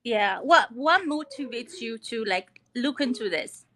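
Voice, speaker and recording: A woman from Ethiopia with a high, bright voice joins a live stream as a caller. She is not a native English speaker and talks casually over a slightly noisy video call. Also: expressive, a little slow. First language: not English, which is at best her fourth language. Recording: noisy online call with clicks.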